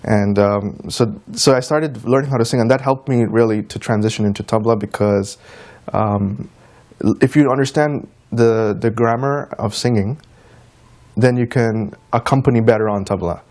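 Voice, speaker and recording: A man with a mid-pitched voice talks as an interview guest. He speaks informally and tells things as anecdotes, in a loose conversational way. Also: moderate pace, darkish tone. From United States, of Trinidadian and Guyanese descent. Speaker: interview guest, tabla player and teacher.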